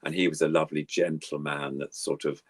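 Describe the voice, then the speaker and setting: A man's voice: extremely low pitch, flat delivery, a little fast, dry recording, studio quality. An older man chatting casually as a guest, reminiscing in an effusive, rambling way. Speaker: guest puppeteer.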